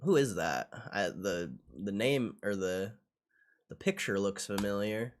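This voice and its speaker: A man's voice: lowish voice, animated, measured pace, slight background noise. A young man chatting casually on a livestream in slangy, sweary speech, often repeating his phrases as he reacts. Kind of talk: casual livestream chat, reacting to what is on screen.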